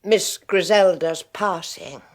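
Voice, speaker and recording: An actress playing a genteel bereaved older sister, nervous and sardonic by turns. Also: female voice, fairly low pitch, takes it slowly. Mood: bitter. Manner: fluttery, nervous, then sardonic.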